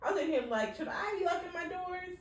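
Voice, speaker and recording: A young woman chatting casually as a podcast co-host, animated and laughing often as she acts out quotes. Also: extremely high pitch, brisk, recorded from a distance. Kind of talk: casual chat. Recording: roomy, from off-mic.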